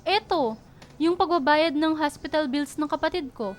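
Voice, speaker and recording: High-voiced young girlfriend, acted by a woman. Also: normal speed, a little hiss or hum, natural voice. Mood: hurt, reproachful. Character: young student girlfriend, proud, caring, easily upset, affectionate. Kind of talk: radio romance drama acting.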